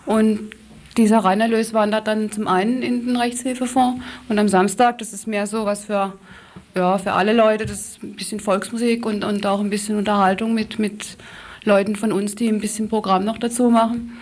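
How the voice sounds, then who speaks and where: A woman interviewed on radio as a campaign activist, explaining things spontaneously and haltingly, correcting herself as she goes. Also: mid-range voice, steady tone, darkish tone.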